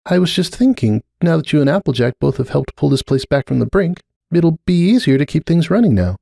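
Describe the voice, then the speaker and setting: A man's voice: a little high, very animated, brisk, gravelly, dark tone, no echo, studio quality, voice pushed up, full character voice. A man voicing a kindly, flustered middle-aged mother pony in a dramatic story reading, hesitant and apt to trail off. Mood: awkward, hesitant.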